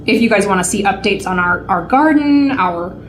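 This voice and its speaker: A woman's voice: medium pitch, lively intonation, normal speed. Woman in her 40s just chatting away to the camera, lots of um and restarts, bit of hiss. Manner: chatty, rambling with many fillers and restarts. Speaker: vlog co-host. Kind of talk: casual chat to camera.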